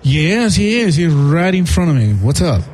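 A man's voice: fairly high pitch, sing-song, normal speed, a little mellow, low-quality recording. A man hosting a casual radio show in the studio, laid-back and teasing a caller. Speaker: radio host. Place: radio studio with live audience.

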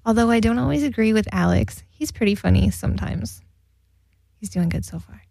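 A woman's voice: mid-range voice, expressive, brisk, gravelly, warm tone, no room sound, clean studio sound. A woman co-hosting a casual radio chat show, reading listener emails and laughing often.

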